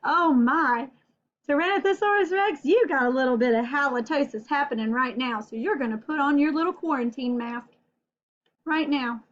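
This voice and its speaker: A woman teaching young children in a read-aloud vocabulary lesson, expressive and sing-song, drawing out key words and checking in with questions. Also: high voice, normal speed, dull audio. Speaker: children's teacher and storybook reader.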